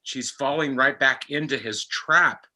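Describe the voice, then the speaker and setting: A man in casual, reflective discussion, speaking earnestly in halting, repeated phrases. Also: mid-range voice, bright, forceful tone. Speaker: actor and podcast panelist.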